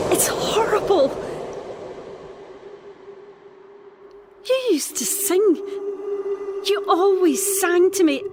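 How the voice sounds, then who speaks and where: A woman playing a troubled, defensive island woman in an audio drama, speaking in a halting, distressed way. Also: very high-pitched, background noise, exaggerated voice. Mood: anguished, angry.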